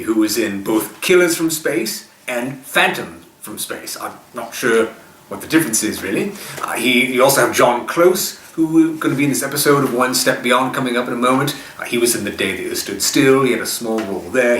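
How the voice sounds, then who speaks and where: A man's voice: mid-range voice, expressive, moderate pace, a bit bright. Man hosting, roomy and distant. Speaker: host of retro TV show. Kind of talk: casual film-trivia presenting. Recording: roomy, distant mic with faint noise.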